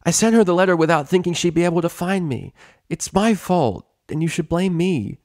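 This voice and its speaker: A man's voice: high voice, animated, fairly quick, darkish tone, dry recording, studio quality, pitched above the performer's own voice. A man voicing a brash, loyal young female pegasus pony in a story reading. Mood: pleading.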